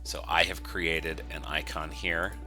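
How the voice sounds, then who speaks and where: A man narrating an informal software tutorial in a conversational, explanatory way. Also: a bass voice, little pitch movement, a little slow, faint music behind. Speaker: software developer teaching a tutorial.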